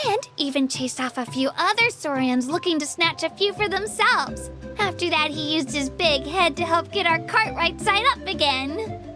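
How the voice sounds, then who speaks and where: A woman voicing a small, friendly young girl in a video game, chirpy, affectionate and earnest. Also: extremely high pitch. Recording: game music behind the voice.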